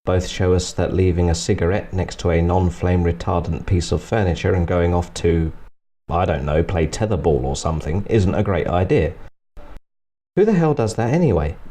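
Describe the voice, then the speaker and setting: A man presents a scripted comic review in a low, mellow voice. His delivery is dry and sarcastic, like a wry commentary on what he has been watching. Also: normal speed. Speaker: presenter of a film review series. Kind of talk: scripted comedic review narration.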